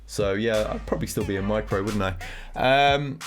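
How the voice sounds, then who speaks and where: A man's voice: on the low side, normal speed, soft background music. A man with a cold and a nasal voice, giving casual commentary on game news as a gaming content creator. He rambles and thinks aloud as he reacts.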